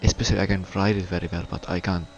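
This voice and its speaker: A Finnish man commentating a sim race in English, a second language for him, with a halting, stuttering delivery. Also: sits low, flat delivery, soft background music. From Finland. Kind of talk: live sim racing commentary.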